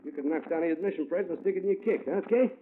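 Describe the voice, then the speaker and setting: An actor playing a penny-pinching American theatrical agent, slangy and hustling, in a 1950s radio drama. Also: male voice, fairly high pitch, very fast, lightly acted. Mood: pushy, hustling. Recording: tinny noisy old radio.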